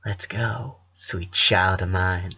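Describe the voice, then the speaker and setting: A man's voice: low-pitched, steady tone, slow, creaky, pushed and bright, husky, pitched below the performer's own voice, full character voice. Man reading as a caring teenage older sister, muffled. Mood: tender reassurance.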